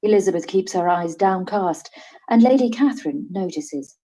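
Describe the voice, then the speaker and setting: A woman's voice: medium pitch, animated, normal speed, mellow, rough recording. A woman reading the stage directions as narrator of a radio play.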